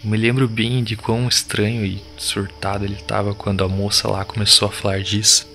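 Man playing a gravelly, urgent village boy. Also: on the low side, flat delivery, sharp tone, a little hiss or hum. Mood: grim, urgent. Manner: urgent, slangy, halting storytelling. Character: shaken, defiant village boy urging his friends to flee. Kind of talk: audio drama acting.

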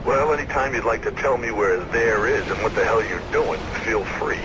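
An actor playing a gruff, worried handler who guides a courier in a video game. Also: male voice, on the low side, normal speed, very noisy, close to an everyday voice. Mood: concerned. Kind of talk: video game cutscene acting. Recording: loud music bed.

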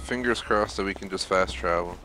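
Male game streamer, deep voice, casual explanatory play-by-play over loud music. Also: a little fast, rough recording. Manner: thinking aloud, explanatory. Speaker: gaming streamer. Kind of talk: casual gameplay commentary.

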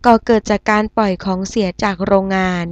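Casting: woman to play composed cartoon TV newsreader, formal scripted delivery, medium pitch, slightly lo-fi sound. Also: steady tone, fairly quick, no room sound, close to an everyday voice. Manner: formal, explanatory. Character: formal, composed female television news anchor. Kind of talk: scripted news presentation.

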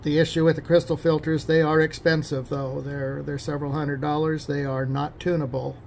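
Male technician, very gravelly fry, informal halting explainer delivery, noticeable background noise. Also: a little high, level tone, a little mellow. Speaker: radio technician giving a demonstration.

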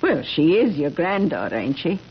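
An actress playing a determined, chatty middle-aged small-town busybody in a 1970s radio drama. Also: female voice, low voice, very animated, normal speed. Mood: chatty, nosy. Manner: chatty, insistent. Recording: muffled, noisy vintage radio broadcast.

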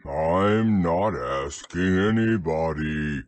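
A morose male donkey from a storybook. He complains in a low, heavy, very slow drawl, ponderous and aggrieved. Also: lively intonation, no room sound, full character voice. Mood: grumbling.

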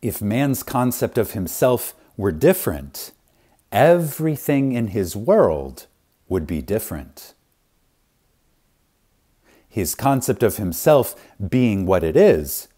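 A man narrating a spiritual audiobook in a reverent, deliberate manner, with dramatic pauses and strong emphasis. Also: on the low side, sing-song, mellow, husky. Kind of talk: scripted audiobook reading of a spiritual text.

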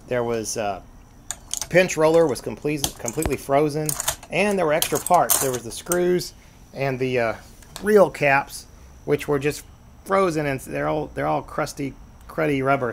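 A man's voice: medium pitch, gravelly. A man casually showing and explaining an old broken tape deck at home, rambling with lots of fillers. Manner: rambling, easygoing, many fillers. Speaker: vintage tape deck repair vlogger. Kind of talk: casual show-and-tell narration. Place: sunny room at home, at a desk.

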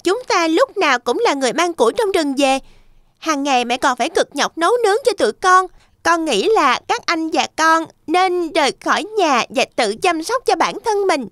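Little cartoon pig boy, very high pitch, earnest, responsible, dry sound. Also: sing-song, normal speed, fairly bright tone, no echo, full character voice. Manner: earnest, admonishing. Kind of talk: children's cartoon dub.

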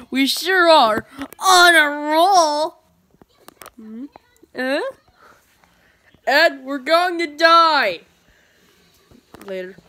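A woman reads a comic aloud, giving every panel its own goofy character voice. Her voice is bright and a touch high, and she takes her time over the lines. She sounds like she's holding a phone up to a tablet in a dim room. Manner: playful, switches voices for each character. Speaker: comic dubber.

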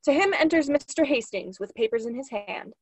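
Young woman, scripted narration, stage directions, higher voice, dry close sound. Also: expressive, a little fast, slightly rough, no echo. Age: young adult. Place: small lit room at home, on a video call.

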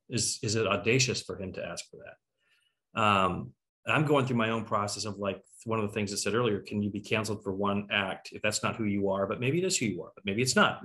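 Low-voiced middle-aged man hosting a discussion. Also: fairly bright tone. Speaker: host. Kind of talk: casual moderated discussion. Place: at home on a video call.

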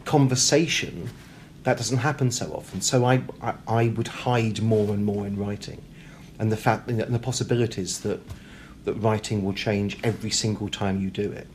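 A man's voice: lowish voice, fairly even intonation, moderate pace, a little mellow. A British man chatting as an interview guest, talkative and self-deprecating, with hesitant, repeated words. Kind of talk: casual interview conversation. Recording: clear background noise, room ambience.

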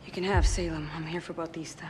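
A woman's voice: low voice, fairly even intonation, fast, slight background noise, natural voice. Actress as tough, defiant young woman. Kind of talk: dramatic video game acting. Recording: loud music under the dialogue.

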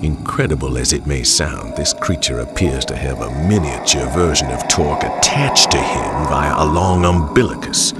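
Horror game journal narrator: a grave, learned man, extremely low voice, ominous, music underneath. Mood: grave, awed. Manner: measured, literate, ominous.

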